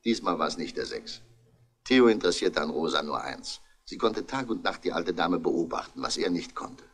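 A stern male commissioner in a 1960s German radio mystery. The voice is low with a sharp, forceful edge. He questions bluntly and gives orders like a man used to command. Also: lively intonation, moderate pace, breathy edge, exaggerated voice. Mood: probing. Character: stern, probing middle-aged male police commissioner. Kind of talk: 1960s German radio crime drama acting.

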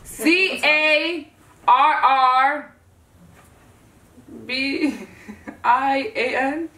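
A woman's voice: high-pitched, very slow, bright, forceful tone. Woman, joking and brash, roomy echo, far from the mic. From Dominican Republic. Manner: joking, brash, swears when stuck. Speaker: spelling challenge contestant. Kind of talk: casual chat among friends. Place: small room with a couch. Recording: roomy echo, distant mic, faint noise.

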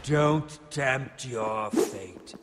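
A man's voice: mid-range voice, extremely slow, in a large hall, very noisy. An actor playing a pompous, scheming, volatile male villain in a video game, with grandiose theatrical delivery. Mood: taunting.

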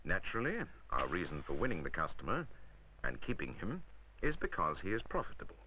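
Deep-voiced British man, lively 1960s film narrator. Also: a little fast, slight background noise, low-quality recording. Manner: wry, rhetorical, emphatic. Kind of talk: 1960s scripted instructional narration. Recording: vintage 1960s film soundtrack.

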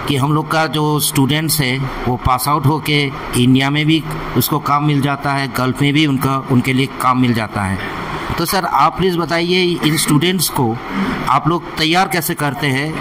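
A man's voice: a little high, steady tone, normal speed. A man, hosting an interview and presenting to viewers. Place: small classroom, indoors. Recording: noisy room ambience.

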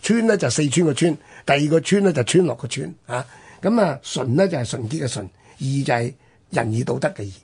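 Male radio storyteller, Hong Kong Cantonese accent, higher-pitched, heavily husky, conversational history explainer. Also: animated, a little fast, darkish tone. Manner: explanatory, punctuated with rhetorical questions and interjections. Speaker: radio history programme host.

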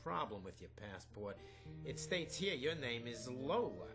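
A woman acting in a comedy radio play as a brisk, officious but polite audition official with a formal, businesslike manner. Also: very deep voice, very animated, music in the background, exaggerated voice.

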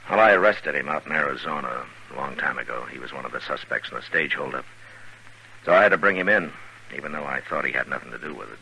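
An actor playing a calm, steady frontier marshal in a 1950s radio western. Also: male voice, rumbling low, quick pace, vocal fry, exaggerated voice. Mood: grave, calm. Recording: noisy old radio broadcast recording.

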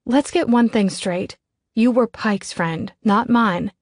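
Woman narrator as sharp, defiant heroine, dry sound. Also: a little high, slow pace, dry recording. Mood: cold defiance. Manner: sharp, terse. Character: hardened, defiant, hot-tempered young woman heroine.

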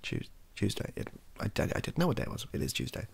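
A young British man giving an improvised, softly spoken, casual monologue with rambling, dry, offhand humour. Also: quick pace. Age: young adult. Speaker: host of a comedy ASMR channel. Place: small cluttered room indoors.

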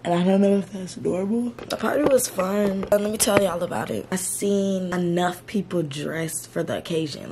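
A young woman vlogger with a hoarse, worn-out voice, chatting casually to her viewers from her bedroom. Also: on the low side, a little mellow, a little hiss or hum. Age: young adult. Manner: chatty, addresses viewers as y'all. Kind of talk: casual chat to camera. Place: bedroom, indoors. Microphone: camera on a desk, close framing.

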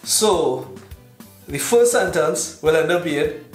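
A man's voice: a little high, slow pace. A man in his thirties with a Trinidadian accent, casually explaining to the camera as a video teacher. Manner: relaxed, explanatory, gestures while talking. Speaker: YouTube host teaching. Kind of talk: casual talking-to-camera lesson. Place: indoors in front of a plain backdrop. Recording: echoey room with faint music.

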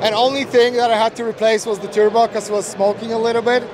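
A man's voice: very high voice, little pitch movement, quick pace. A man in his thirties chatting casually as a guest, laid-back with dry, reluctant jokes, in a large indoor show hall. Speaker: car owner guest. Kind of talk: casual chat. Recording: noisy crowded indoor hall. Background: crowd chatter and hall noise.

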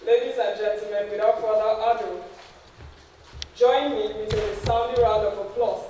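A young woman serving as master of ceremonies, reading a formal introduction from notes at a podium in a large conference hall. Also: on the high side. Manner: steady, measured reading from notes. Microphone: podium microphone, heard from a distance. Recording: very echoey hall, distant mic, faint noise.

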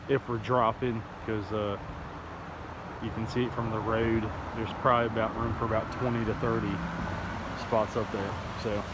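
A man hosting a fishing vlog, rambling casually with plenty of fillers as he walks a creekside access point outdoors. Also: medium pitch. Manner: rambling, chatty, with frequent fillers. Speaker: fishing channel host. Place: outdoors by a creek near a road bridge. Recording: outdoor camera audio with loud road noise. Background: loud outdoor ambience and passing traffic.

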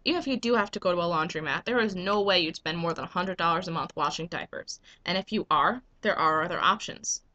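A woman's voice: lowish voice, fast, few pauses, pushed and bright. A young woman with a cold, making an earnest, emphatic case to her webcam with lots of rhetorical questions. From United States. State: getting a cold. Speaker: vlogger and business owner. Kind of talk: persuasive webcam vlog. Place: small indoor room at home. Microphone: webcam at desk.

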